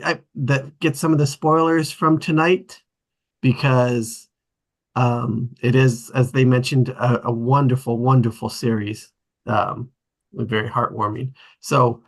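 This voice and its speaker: A man casually hosting an online group read, speaking haltingly and repeating words as he goes. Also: medium pitch. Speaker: host of an online script table read.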